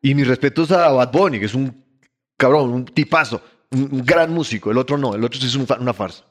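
A man's voice: medium pitch, fairly quick. A man in his fifties, born in Colombia and raised in Ecuador, a musician chatting animatedly in an interview and restarting his sentences often. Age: 50s. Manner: animated storyteller, frequent restarts, laughs easily. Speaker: musician guest. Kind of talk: casual interview chat.

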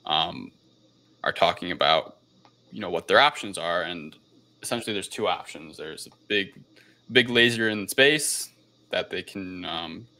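A man's voice: deep voice, a little slow, bright, forceful tone. A man hosting a podcast, recapping a film in casual, loose, informal conversation. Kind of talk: casual film review chat.

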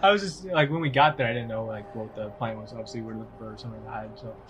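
A man's voice: fairly low pitch, fast, heavy background noise. A man playing a tabletop game, chatting casually as a player. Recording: music under the voice.

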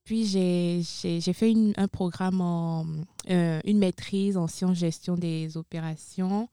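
A woman's voice: fairly low pitch, fairly even intonation, takes it slowly, warm tone, clear, resonant tone, dry recording. A young woman from Benin, a guest on a radio interview, talking in a chatty way with frequent hesitations and self-corrections. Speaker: guest, university international student services supervisor.

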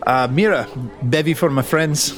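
A man's voice: on the high side, normal speed, natural voice. A man playing an easygoing, slangy Scottish adventurer who is a regular at the tavern in a fantasy game. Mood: friendly, relaxed. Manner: slangy, matey. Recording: music under the voice.

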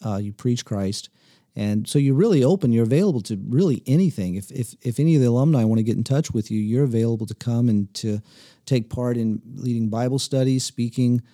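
A man hosts a casual interview around a small table in a cabin living room. His voice is very dark and mellow, and it sits in a dry, close sound. He talks in a relaxed, rambling way and often repeats his words. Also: mid-range voice, fairly quick, dry recording. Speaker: host. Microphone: table mic.

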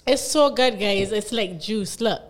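A woman's voice: medium pitch, a little slow. A young woman from Barbados with a Bajan accent, hosting a casual, playful podcast chat with a friend and rambling between giggles. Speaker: podcast host.

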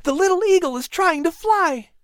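A man voicing an eager, gentle young boy with earnest wonder in a storybook. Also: extremely high pitch, lively intonation, moderate pace, dry recording, studio quality, voice pushed up. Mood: thrilled.

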